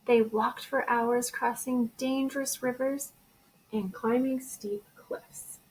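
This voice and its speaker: A woman teacher reading a children's storybook aloud in an expressive way. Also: fairly high pitch, slow, a little hiss or hum, low-quality recording. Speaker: elementary school teacher.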